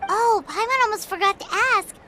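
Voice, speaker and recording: A woman voicing a tiny, chirpy, girlish companion creature in a video game, with a squeaky childlike voice. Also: brisk, slight background noise. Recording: game audio with soft music.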